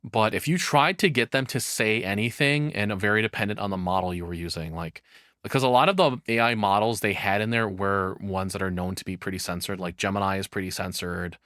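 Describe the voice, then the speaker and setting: Male streamer, low voice, close dry sound, rambling sweary reaction talk. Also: brisk, fairly bright tone, no echo. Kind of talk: casual off-the-cuff commentary.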